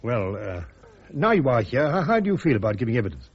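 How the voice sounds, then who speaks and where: An actor playing a polite, restrained army major on trial in a radio drama, husky, courteous and a little hesitant. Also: male voice, medium pitch, big pitch swings, fast talker. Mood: gentle, awkward. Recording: dull, band-limited radio recording.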